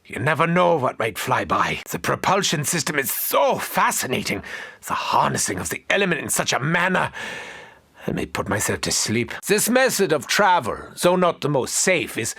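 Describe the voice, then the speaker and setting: An actor playing an arrogant, menacing German-accented mad doctor in a video game, with grandiose, formal, theatrical delivery. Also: male voice, fairly high pitch, big pitch swings, moderate pace, creaky, sharp tone, husky, exaggerated voice. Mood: cold, dry. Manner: grandiose, formal, precisely worded. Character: arrogant, menacing male mad doctor battling undead hordes.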